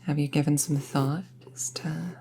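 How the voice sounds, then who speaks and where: Young apprentice witch for audio roleplay, played by a woman: low, mellow, soft-spoken, casually thoughtful and friendly, near-whispered at times. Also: steady tone, measured pace, a little hiss or hum. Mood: musing, casual. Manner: soft-spoken, hesitant, musing, often trailing into near-whisper. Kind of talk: intimate roleplay audio acting, conversational.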